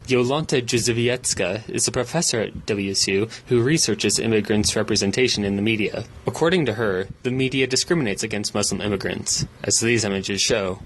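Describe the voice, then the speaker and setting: A man's voice: lowish voice, a little fast, few pauses, slight background noise, poor audio. A male student reporter reading a scripted news narration evenly.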